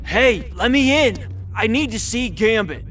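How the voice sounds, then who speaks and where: A man's voice: extremely high pitch, animated, a little slow, heavily acted. A man playing an eager, determined young mutant hero in a video game. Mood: urgent, pleading. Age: young adult. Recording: game cutscene with loud music. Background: loud game music.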